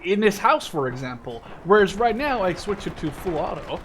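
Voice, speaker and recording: A man's voice: high voice, very animated, moderate pace. A man in his twenties from the Netherlands giving casual gameplay commentary, rambling and hesitant, often breaking off mid-sentence. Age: 20s. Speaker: gaming video creator. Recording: loud gunfire and artillery noise. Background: video game gunfire, artillery and machine guns.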